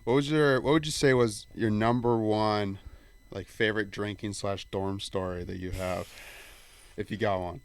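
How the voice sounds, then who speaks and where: A man's voice: lowish voice, fairly even intonation, moderate pace, smooth, no echo. A young man co-hosting a podcast, asking interview questions and giving brief, agreeable replies.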